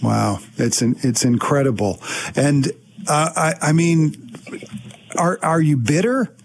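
A man hosting a radio interview, asking questions in a gentle, conversational way. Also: on the high side, slow, a little hiss or hum. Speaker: radio host.

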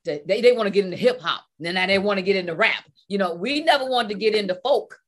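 A woman's voice: medium pitch, animated, fast talker. A woman co-hosting a casual panel talk show, speaking candidly and bluntly.

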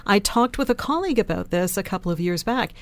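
A woman with a lower voice than average, speaking emphatically and articulately as a panelist on a radio talk show. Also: lively intonation, fast talker, dry recording. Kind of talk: opinionated panel discussion.

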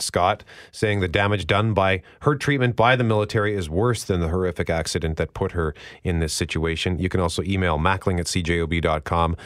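Man, low voice, Canadian accent, casual radio co-host, conversational. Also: a bit bright. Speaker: radio morning show co-host.

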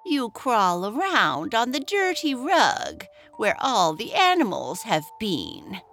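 A woman voicing a lonely, grumpy old witch in a bedtime story, with a shrill, dramatic manner. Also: wildly swooping pitch, slow, a bit bright, faint music behind, heavily acted. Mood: cranky.

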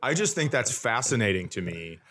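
A man with an average-pitched voice, chatting casually as a comedy podcast co-host. He jokes and teases, jumping in with short interjections. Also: very animated, a little fast, sharp tone. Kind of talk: casual joking banter.

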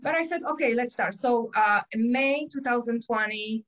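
Chatty Polish-accented woman, fairly high voice. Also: moderate pace, a bit bright. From Poland. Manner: chatty, many fillers and restarts, quotes herself. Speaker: gym owner and personal trainer. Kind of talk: casual interview storytelling.

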